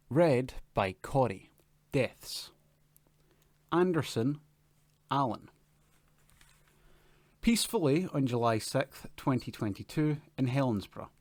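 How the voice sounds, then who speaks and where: A man's voice: mid-range voice, no room sound. A man reading newspaper announcements and articles aloud from a script as a volunteer reader. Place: at home.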